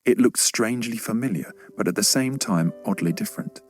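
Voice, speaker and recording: A male audiobook narrator reads a children's story in a fairly low voice. The delivery is scripted storytelling, with faint music underneath. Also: brisk, darkish tone.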